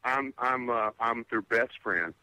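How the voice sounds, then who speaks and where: Older man in his sixties, caller on talk radio, emphatic rant, lots of stammering restarts, bright tense voice, dry sound. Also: mid-range voice, a little slow, no room sound. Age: 60s. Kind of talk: phone-in talk radio call.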